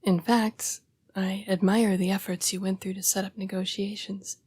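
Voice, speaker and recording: A woman playing a young-seeming, formal and apologetic female vampire in an intimate audio roleplay, breathy and often dropping to a whisper. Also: medium pitch, flat delivery, a little mellow, no echo, natural voice. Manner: formal, polite, measured phrasing. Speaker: vampire council's correspondence assistant.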